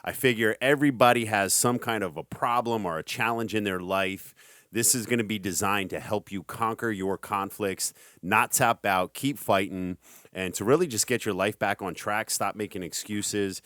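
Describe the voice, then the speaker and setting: Middle-aged male podcast host, very deep voice, energetic motivational delivery, dry sound. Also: moderate pace, dry recording. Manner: energetic, hype-style motivational. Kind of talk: casual podcast talk.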